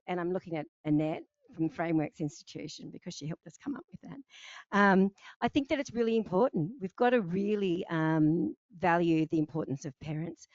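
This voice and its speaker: A woman hosts a launch event, thanking and introducing speakers with many ums. Her voice is a little low and dry, and the audio is slightly dull. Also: lively intonation, moderate pace, darkish tone, no echo. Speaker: host.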